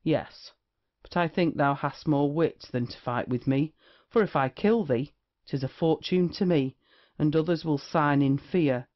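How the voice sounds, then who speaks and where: A witty, swaggering young rake played by an actor in a period stage comedy for audio. The voice sits at a middling pitch with flippant, old-fashioned phrasing. The recording is slightly dull and lo-fi. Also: unhurried, lightly acted. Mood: cocky, taunting. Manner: archaic, swaggering, flippant speech. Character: brash, witty young male rake, swearing casually.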